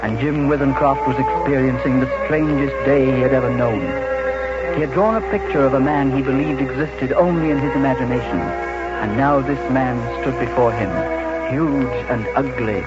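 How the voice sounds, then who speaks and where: Grave male radio narrator over loud music. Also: mid-range voice, fairly even intonation, normal speed. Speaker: host narrator. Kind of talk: scripted dramatic narration, 1940s radio. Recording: dull 1940s radio recording, loud music.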